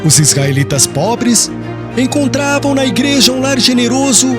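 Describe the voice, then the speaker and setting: A man's voice: extremely high pitch, sing-song, normal speed. A man narrating an audiobook in a solemn, formal storytelling manner. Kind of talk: scripted literary narration. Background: music.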